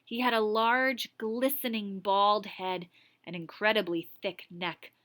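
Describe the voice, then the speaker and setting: A woman with a bright, forward voice reads a story aloud as a teacher would. Her narration is expressive at a middle pitch, and she slips into casual asides to explain things. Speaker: teacher reading aloud to students.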